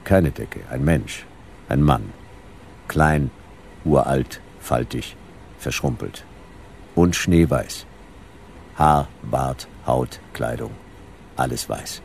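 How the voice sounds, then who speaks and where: An actor playing a deadpan narrator of a sci-fi detective radio play, speaking in clipped fragments. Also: male voice, extremely low pitch, vocal fry, a little hiss or hum, heavily acted.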